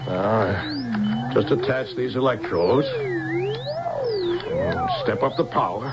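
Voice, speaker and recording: An earnest, self-assured scientist in a radio play. His male voice is creaky and gravelly, with very wide pitch swings. Heavy noise covers the old recording. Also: huge pitch swings, takes it slowly, highs cut off, exaggerated voice. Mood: confident, genial. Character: earnest, confident male scientist, doctor and husband. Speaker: actor in a radio drama. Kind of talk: 1970s radio drama acting. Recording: very noisy, band-limited old radio.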